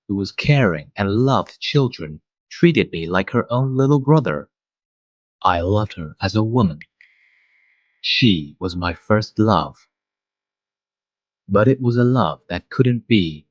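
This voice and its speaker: A man playing a mild, wistful adult guardian, narrating a manga dub in a measured, reflective way. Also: on the low side, no echo, lo-fi sound. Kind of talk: scripted first-person manga dub narration.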